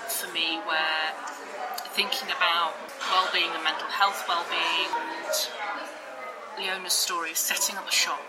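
A woman's voice: lowish voice, level tone, unhurried, long pauses, rough recording. A woman speaking in a heartfelt, reflective way in a casual chat. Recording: loud music behind.

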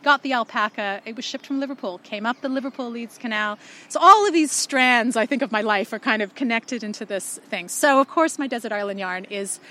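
A woman with a Canadian accent chatting casually and warmly reminiscing, recorded outdoors by a canal. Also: fairly high pitch, a little fast. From born in Yorkshire, raised in Canada. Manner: animated, reminiscing, laughs often. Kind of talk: casual chat.

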